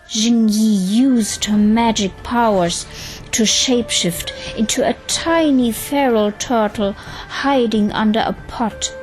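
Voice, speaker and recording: A woman's voice: medium pitch, darkish tone, rough recording. A woman narrating a scripted audio drama as a storyteller. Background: music.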